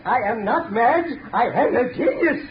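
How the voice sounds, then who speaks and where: Crazy ranting mad scientist, really high pitched, old muffled noisy radio show. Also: male voice, lively intonation, unhurried. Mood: manic boasting. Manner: ranting, cackling, grandiose. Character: deranged, boastful male mad scientist who claims to raise the dead. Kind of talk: 1930s radio drama acting.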